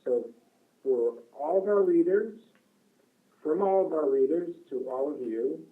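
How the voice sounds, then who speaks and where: A man's voice: a little high, unhurried, phone-quality sound. A man hosting a live online story broadcast for children, addressing the young listeners directly.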